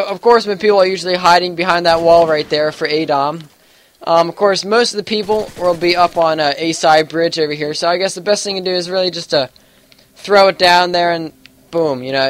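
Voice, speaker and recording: A young man with a stuffy, allergy-congested voice talks through gameplay in a loose, rambling way. His voice sits a little high for a man, and he keeps hedging with fillers as he goes. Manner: rambling, full of fillers and hedges. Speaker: gaming YouTuber. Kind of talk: casual gameplay commentary.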